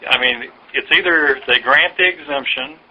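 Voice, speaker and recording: Male official fielding a phone query, hesitant and patient, middling pitch, dull telephone sound. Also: normal speed. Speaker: official answering a caller's questions. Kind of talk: phone conversation, explanatory. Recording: dull lo-fi recorded phone call.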